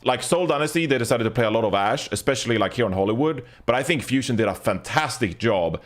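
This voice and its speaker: A man's voice: medium pitch, expressive, fast talker. A man co-hosting an esports podcast, analysing the game in casual chat.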